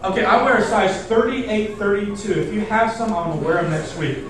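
A man's voice: sits high, animated, brisk, fairly bright tone, far from the mic. A man in his thirties preaching casually as a pastor, chatty and informal, engaging his listeners with questions. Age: 30s. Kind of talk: casual sermon to a congregation. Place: indoor church stage in front of seated audience. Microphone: far camera in the audience. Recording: very echoey hall from afar, faint noise.

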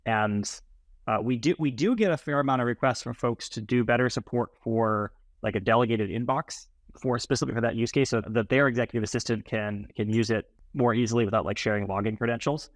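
A middle-pitched man of about forty talks as an interview guest. He explains in a conversational way, and the recording is dry and free of room echo. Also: a little fast. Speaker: startup founder, interview guest. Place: indoors on a video call, plain room.

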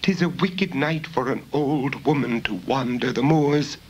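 A man's voice: mid-range voice, measured pace, breathy edge, dull audio, voice pushed up. A man voicing a feeble old woman stranger who pleads weakly in a storybook ghost tale. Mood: desperate pleading. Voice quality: feeble, quavering whisper. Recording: noisy 1970s vinyl spoken-word record.

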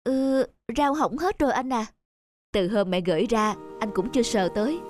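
A woman playing a kind, caring young girlfriend in a cartoon dub, chatting gently. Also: a little high, animated, moderate pace, no room sound, soft background music, lightly acted. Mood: cheerful, caring.

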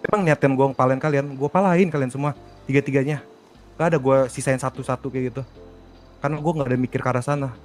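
Fast-talking, argumentative man. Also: mid-range voice, fairly even intonation, faint music behind. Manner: argumentative, insistent. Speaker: online role-play gamer. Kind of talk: in-character argument in a multiplayer game.

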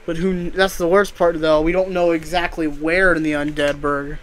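A man's voice: on the high side, little pitch movement, moderate pace, fairly bright tone. A man in casual gaming chat with a friend, informal and sweary. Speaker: gamer in a co-op video game session. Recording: clearly noisy home game recording.

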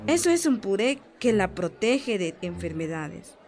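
An actress playing a friendly, assured village health adviser in a radio soap, explaining patiently with a Guatemalan accent. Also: female voice, medium pitch, very animated, unhurried, a little mellow, a faint crowd. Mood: explaining, encouraging. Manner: instructive, patient, encouraging. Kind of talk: radio soap opera acting.

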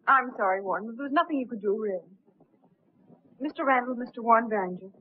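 A woman's voice: a little high, fast talker, slightly rough. An actress playing a composed, gracious young society woman in a 1930s film. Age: young adult. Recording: tinny, muffled 1930s film soundtrack.

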